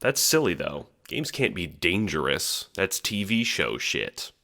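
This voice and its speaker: A man's voice: sits low, big pitch swings, unhurried, sharp tone. A man delivering scripted second-person comedic narration as the narrator of an audio drama.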